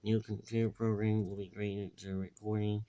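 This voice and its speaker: A man giving rambling casual commentary in a halting, repetitive way with muddled wording. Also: little pitch movement, dark tone, smooth. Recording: dull, echoey low-fidelity recording.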